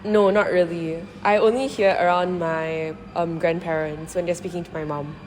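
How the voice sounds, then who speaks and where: A young woman from Singapore answers in a measured, thoughtful way, her voice slightly low. She sounds like she's in a roomy hall with a long echo. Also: steady tone, a little mellow, a little hiss or hum. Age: young adult. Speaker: design student interviewee. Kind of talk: casual interview answers. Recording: roomy hall with faint ambience.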